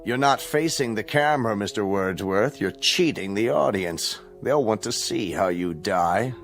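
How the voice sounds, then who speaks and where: Coldly smug, menacing state chancellor. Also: male voice, lowish voice, animated, measured pace, soft background music. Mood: mocking. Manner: formal, condescending, mockingly polite, menacing. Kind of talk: radio drama acting.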